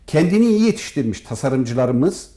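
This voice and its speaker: A man giving formal, measured interview answers as an academic guest, as if from prepared notes. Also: medium pitch, sing-song, warm tone. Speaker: professor and department head, interview guest.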